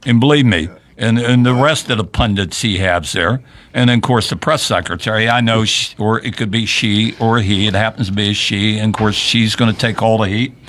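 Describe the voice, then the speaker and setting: A man with a lowish voice hosts a local political radio chat. He rambles conversationally, restarting his sentences as he goes. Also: fairly quick, fairly bright tone. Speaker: radio show host.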